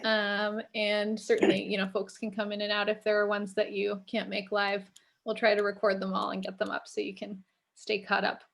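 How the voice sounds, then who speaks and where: A woman hosting an online community event with an informal welcome, speaking in a somewhat halting way. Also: mid-range voice, monotone, creaky, a bit bright. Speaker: host, assistant library director.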